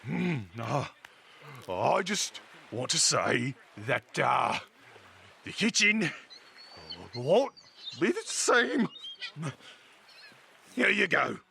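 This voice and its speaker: A man playing an awkward, soft-hearted ship's cook who speaks haltingly, in an audio adventure drama. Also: a little high, very animated, gravelly, slight background noise, exaggerated voice. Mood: awkward, sad. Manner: halting, hesitant.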